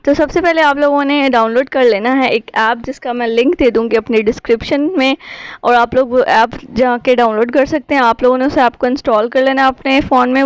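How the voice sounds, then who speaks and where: A woman's voice: high-pitched, smooth, no echo. A woman, an Urdu speaker, narrating a casual app tutorial as a YouTube host.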